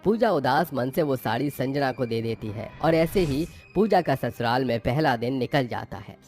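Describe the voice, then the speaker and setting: A man's voice: mid-range voice, expressive, normal speed, darkish tone, soft background music. A man giving scripted narration of a story as its narrator.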